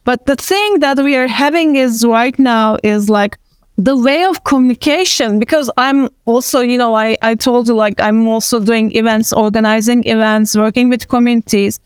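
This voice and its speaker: A woman's voice: a little high, moderate pace, clear, resonant tone, no room sound. A woman in her thirties who speaks English with a Turkish accent, a panelist and event organizer talking casually, rambling with many fillers and easy laughter.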